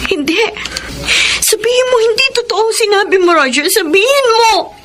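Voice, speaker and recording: A woman's voice: extremely high pitch, expressive, moderate pace, fairly bright tone, soft background music. An actress with a Filipino accent playing an anxious, wounded, pleading young live-in girlfriend in a radio melodrama. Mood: anguished. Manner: emotional, pleading. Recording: clear background noise on broadcast.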